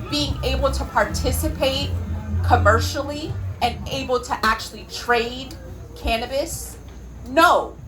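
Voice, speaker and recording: Bright-voiced American woman addressing a panel. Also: a little high, poor audio. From California, United States. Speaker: panel speaker. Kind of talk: public panel speech.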